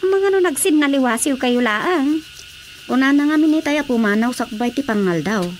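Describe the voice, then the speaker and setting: A woman's voice: high-pitched, expressive, normal speed. A woman with an Ilocano accent playing an anxious, nagging woman in a radio drama. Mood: worried. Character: anxious, scolding woman fussing over an ailing drinker. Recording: faint background noise.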